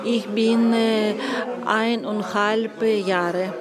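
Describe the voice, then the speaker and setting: A woman from Ukraine with a Ukrainian accent, an interviewed guest speaking haltingly in simple learner phrases. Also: medium pitch, fairly even intonation. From Kyiv, Ukraine. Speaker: café guest being interviewed. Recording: chatter of a café crowd. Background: people talking.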